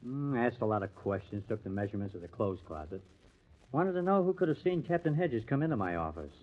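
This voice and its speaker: An actor in an old-time radio crime drama playing a bitter, sardonic newspaper artist who has turned murderer. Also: male voice, mid-range voice, big pitch swings, fast talker, natural voice. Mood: pensive, guarded. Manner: sardonic, menacing. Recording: muffled vintage radio recording.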